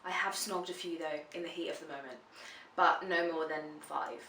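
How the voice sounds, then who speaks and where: A chatty woman in her twenties answering questions for her vlog, blunt and casual, with plenty of swearing. Her voice sits on the lower side and sounds bright and forceful. It is recorded from a slight distance in a roomy, echoing space. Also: steady tone, moderate pace, slight background noise. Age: 20s. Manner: frank and chatty, swears freely. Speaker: dominatrix answering viewer questions. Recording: roomy echo, voice a bit far from the mic.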